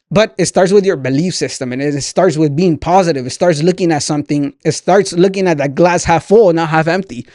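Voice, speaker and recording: A man's voice: on the high side, darkish tone, dry recording, clean studio sound. A man in his twenties hosting a motivational talk, speaking earnestly and conversationally, often checking in with "right?". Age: 20s. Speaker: podcast host, entrepreneur. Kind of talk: motivational talk, sharing lessons directly to listeners.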